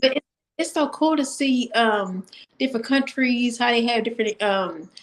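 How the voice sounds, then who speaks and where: An American woman hosting an interview over a video call, talking in a friendly, halting way with fillers. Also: a little high, poor audio. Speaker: podcast host. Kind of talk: casual interview chat.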